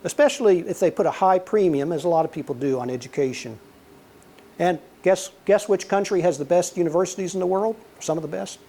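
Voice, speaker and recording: An older man with a soothing voice giving a conversational lecture, telling anecdotes and acting out dialogue. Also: a little high. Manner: relaxed storyteller, acts out quoted dialogue. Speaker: guest lecturer, former intelligence officer.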